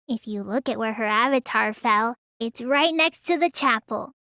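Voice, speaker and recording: A woman's voice: fairly high pitch, normal speed, sharp tone, dry recording, clean studio sound. A woman voicing a petite, stubborn teenage girl magician in an English anime game, with a languid, childlike, slightly pouty delivery. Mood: deadpan, matter-of-fact.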